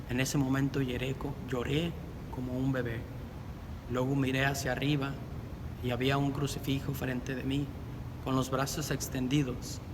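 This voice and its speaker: Middle-aged guy telling his faith story, calm and earnest, echoey with rumble under it. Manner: earnest, measured, reflective. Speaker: deacon candidate. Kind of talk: personal faith testimony. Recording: reverberant with loud rumble.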